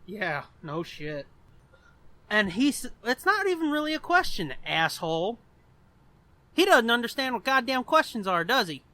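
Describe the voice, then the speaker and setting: A man's voice: very high voice, very animated, unhurried, sharp tone, no room sound. A man co-hosting a podcast in casual comedic banter, crude and sardonic, swearing freely. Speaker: podcast co-host.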